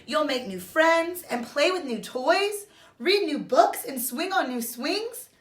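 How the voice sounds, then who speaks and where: A woman voicing a gentle, reassuring mother raccoon in a storybook, with a soothing, encouraging manner. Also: high-pitched, expressive, takes it slowly, voice pushed up. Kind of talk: storybook read-aloud. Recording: roomy echo.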